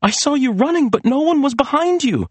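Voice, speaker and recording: A man's voice: extremely high pitch, expressive, fairly quick, no room sound, voice pushed up. A man voicing a bewildered, demanding young woman who questions and insists, in an audiobook story. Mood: insistent.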